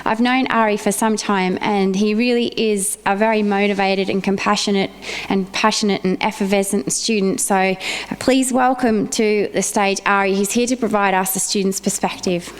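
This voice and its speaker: A woman's voice: medium pitch, steady tone, smooth. Woman introducing a speaker in a hall. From Australia. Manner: measured, reading from notes. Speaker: conference presenter introducing the speaker. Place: large convention hall. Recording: long reverb in a convention hall.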